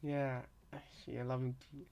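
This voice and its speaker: A man's voice: medium pitch, flat delivery, moderate pace, vocal fry, a little mellow, slight background noise. Rambling male reactor, casual commentary. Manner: rambling, repeats and reworks phrases. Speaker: reaction video host.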